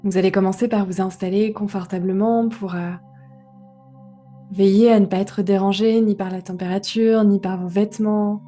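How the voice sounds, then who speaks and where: A woman guiding a relaxation meditation, giving gentle, unhurried instructions with pauses. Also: mid-range voice, fairly even intonation, faint music behind. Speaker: yoga nidra guide.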